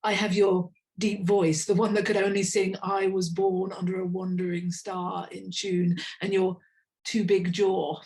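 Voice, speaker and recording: A woman's voice: medium pitch, darkish tone. Woman reading a tender spoken-word poem. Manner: slow, intimate, reflective delivery. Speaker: poet.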